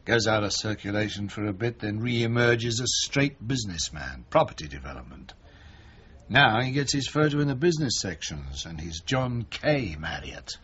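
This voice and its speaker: An actor playing a blunt, seasoned British chief inspector in a radio drama, terse and world-weary, with a rough-edged voice. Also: male voice, lowish voice, animated, normal speed, fairly bright tone, slight background noise. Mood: grimly reminiscing. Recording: dull, band-limited radio broadcast.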